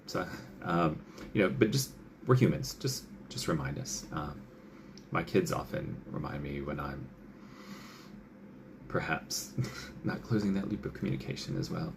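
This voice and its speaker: Deep gravelly-voiced male doctor. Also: animated, a little slow, long pauses, background noise. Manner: chatty, many fillers, acts out quoted patient and doctor lines. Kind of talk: casual advice talk.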